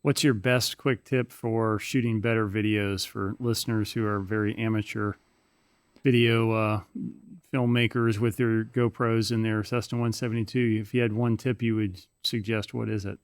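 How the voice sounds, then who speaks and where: A man hosting a podcast, asking a guest brisk, friendly interview questions. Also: fairly low pitch, little pitch movement, clean tone, no room sound, clean studio sound. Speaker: podcast host. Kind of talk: interview questions, rapid-fire segment.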